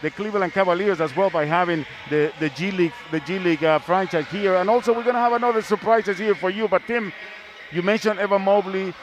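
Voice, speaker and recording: Guy hosting a live sports talk show, pretty high voice, repeats himself a bit, faint noise behind. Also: fast. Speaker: sports broadcast host.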